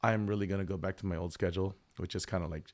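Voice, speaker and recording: Laid-back low-voiced man hosting a stream. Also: steady tone, rapid-fire. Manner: laughing, joking, chatty. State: tired voice from lost sleep. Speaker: streamer host. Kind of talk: casual livestream chat.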